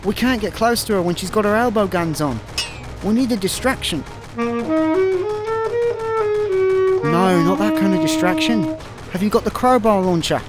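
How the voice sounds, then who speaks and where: A fretful Scottish slacker played by a man in a sci-fi radio comedy, with clear music behind him. His voice sits high, and he comes across as hapless and literal-minded. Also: animated, fairly quick. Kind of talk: comic sci-fi radio play acting.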